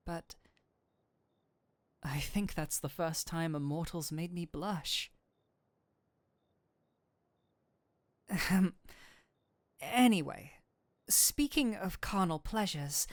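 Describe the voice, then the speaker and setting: Woman playing a slow-talking, flustered nerdy incubus. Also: fairly low pitch, no room sound. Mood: bashful, then apologetic. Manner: theatrical, formal wording, easily flustered. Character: flustered nerdy male incubus demon, courteous and flirtatious. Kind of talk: intimate audio roleplay acting addressed to the listener.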